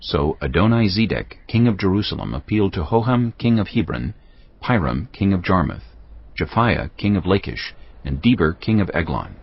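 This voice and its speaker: A man narrating a dramatized Bible reading in a solemn, measured storytelling manner. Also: deep voice, sing-song, breathy edge, muffled recording. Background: background music.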